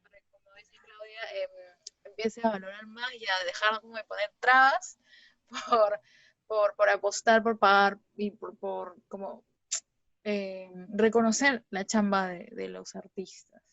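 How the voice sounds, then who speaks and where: A Peruvian woman co-hosts a casual interview. She has an average-pitched voice and talks informally and with enthusiasm. The sound is dull and very dry, like an online call. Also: steady tone, a little slow, vocal fry, a bit bright, dry recording. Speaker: interview co-host. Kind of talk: casual chat.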